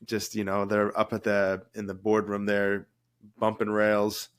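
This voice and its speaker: Man co-host, a bit low-pitched, voice dulled by a cold, jokey and rambling. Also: monotone, measured pace, smooth. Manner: joking, rambling with restarts. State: has a cold, low and mellow voice. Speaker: podcast co-host, former cruise director. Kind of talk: casual podcast chat.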